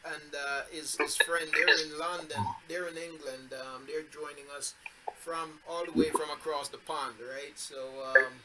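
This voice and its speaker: A man's voice: fairly high pitch, steady tone, measured pace, over a noisy crowd. A man leading an online scripture study, explaining patiently with fillers and repeated words. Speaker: teacher leading the study group. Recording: noisy online call audio.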